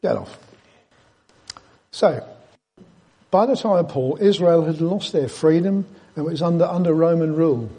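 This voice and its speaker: A man with an English accent gives an informal sermon like a chatty teacher. His voice sits a little high for a man, with a mellow, husky texture. He slips in dry asides and tag questions as he explains. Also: measured pace, pauses often. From Britain. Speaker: preacher giving a Bible talk.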